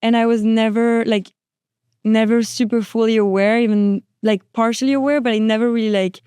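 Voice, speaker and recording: A woman's voice: fairly high pitch, steady tone, brisk, mellow, clear, resonant tone, no room sound. A woman guest on a podcast chatting casually, hesitant and full of "like" and restarts. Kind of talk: casual chat.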